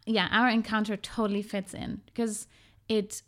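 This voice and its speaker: A woman's voice: mid-range voice, little pitch movement, normal speed, fairly bright tone. A woman from Germany, a podcast guest, talking reflectively with many hesitations and restarts. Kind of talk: casual conversation.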